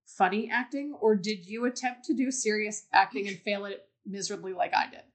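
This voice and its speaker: A woman's voice: mid-range voice, brisk. A woman hosting a podcast interview, asking questions and offering reflective, affirming comments. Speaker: podcast host.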